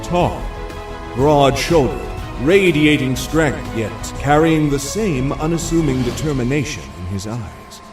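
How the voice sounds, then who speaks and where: A man reading scripted audio drama narration in a measured, suspenseful storytelling manner. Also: mid-range voice, expressive, far from the mic, over music.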